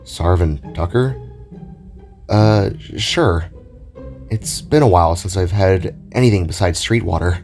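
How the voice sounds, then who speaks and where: A man's voice: deep voice, a little slow, a little mellow, faint music behind, pitched below the performer's own voice. A man playing an easygoing, friendly, slightly oblivious young male glitch hunter, talking in a casual, understated way in an audio drama. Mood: unsure, agreeable.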